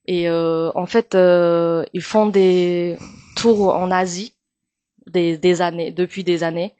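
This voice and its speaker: A woman's voice: fairly low pitch, fairly even intonation, dark tone, smooth, no echo, lo-fi sound. Taiwanese woman speaking hesitant French. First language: Mandarin. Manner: hesitant, many pauses and fillers. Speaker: guest, actress and singer. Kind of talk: casual interview chat.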